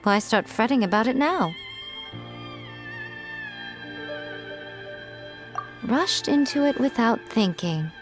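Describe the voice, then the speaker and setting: A woman's voice: a little high, animated, moderate pace, over music, lightly acted. A woman voicing a sly, self-assured female fox spirit in a video game, speaking in a smug, teasing, theatrical drawl. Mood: dry disdain. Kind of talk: video game character acting.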